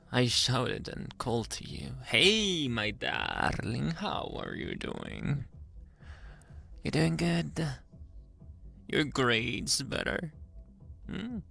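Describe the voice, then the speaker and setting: Slow-talking, flirty reformed bully played by a man. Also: medium pitch, full character voice. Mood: regretful, confessing, then teasing. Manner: soft, halting confession with breathy chuckles and trailing phrases. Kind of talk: intimate ASMR roleplay monologue.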